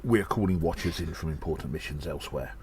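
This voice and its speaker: A man playing an earnest, worried gnome adventurer in an audio drama, speaking in a halting, urgent way. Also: a bass voice, a little mellow, slight background noise. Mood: grave, determined. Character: earnest, worried gnome adventurer rallying allies against an attack. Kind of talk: audio drama monologue, spoken as a personal report.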